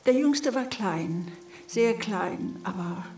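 A woman playing an aunt with a deliberate storytelling manner in a radio drama. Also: medium pitch, animated, reverberant, recorded from a distance, noisy background. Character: aunt reading a fairy tale to her little nephew.

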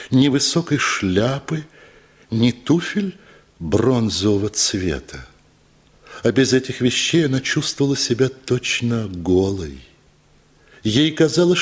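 A man narrating a literary story for a radio play, telling it expressively with dramatic pauses. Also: medium pitch, husky.

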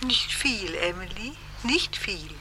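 An actress playing an older dead mother-in-law, gentle, calming and resigned, in a radio drama. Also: medium pitch, slow, background noise. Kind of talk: theatrical radio drama acting.

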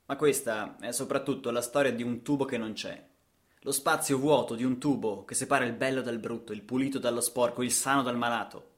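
A man telling a story as a radio theatre narrator, in deliberate, theatrical phrases with repetition. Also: medium pitch.

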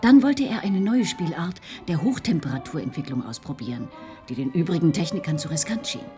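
An actress plays a learned woman investigator in a radio play, her voice on the low side. She lays out information in a composed, factual way. There is music behind her. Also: lively intonation, fairly quick, warm tone, lightly acted. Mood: briskly factual. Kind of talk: German radio play acting.

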